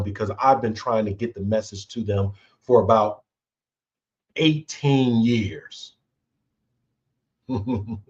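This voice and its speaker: A male host talks through workplace conflict in a relaxed, conversational way, tagging his points with "right?". He speaks slowly, in a mid-range voice. The recording sounds a little dull and muffled. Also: medium pitch. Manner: conversational, repeats "right?" often. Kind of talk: casual talk on leadership.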